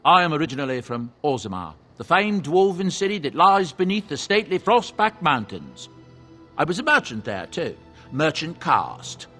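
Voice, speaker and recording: Genial dwarven trader for a fantasy game, chatty and self-justifying, lively higher-pitched delivery. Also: male voice, moderate pace, soft background music, exaggerated voice. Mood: wry reminiscing. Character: folksy, genial dwarven merchant, protective adoptive father. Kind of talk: video game character dialogue, storytelling.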